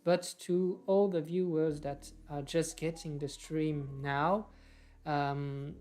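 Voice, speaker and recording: A woman's voice: sits low, fairly even intonation, takes it slowly, darkish tone. A young woman hosting an online poetry stream informally, hesitant in delivery. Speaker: host of an online poetry reading. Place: small room at home, indoors. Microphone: video call.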